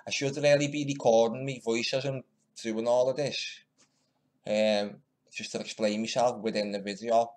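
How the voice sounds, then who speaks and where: A man's voice: mid-range voice, fairly even intonation, moderate pace, a little mellow. A man giving casual, mocking commentary while editing clips, often muttering to himself. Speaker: video maker commenting on news clips.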